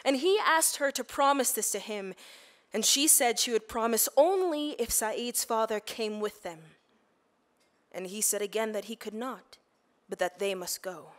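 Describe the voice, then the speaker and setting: A woman actress reading literary fiction aloud as a dramatic reading, with an expressive, trained stage voice. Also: fairly high pitch.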